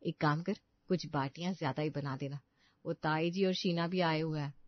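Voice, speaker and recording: A woman voicing a gentle, cautious young daughter-in-law with a caring manner in a cartoon story. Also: low voice, steady tone, moderate pace, no room sound, lo-fi sound, close to an everyday voice. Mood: affectionate. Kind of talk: cartoon moral story voice acting.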